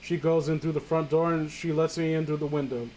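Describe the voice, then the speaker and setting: A man reading fan fiction aloud casually, breaking off with sarcastic, halting, sweary commentary. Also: on the high side, flat delivery, a little mellow, slight background noise, poor audio.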